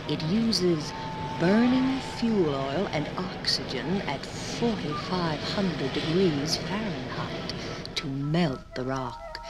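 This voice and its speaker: A man's voice: fairly high pitch, lively intonation. A man playing a proud, long-snubbed talking rock, addressing the listener theatrically in 1950s radio drama style. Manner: theatrical, deliberate storytelling to the listener. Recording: 1950s radio LP, loud music behind.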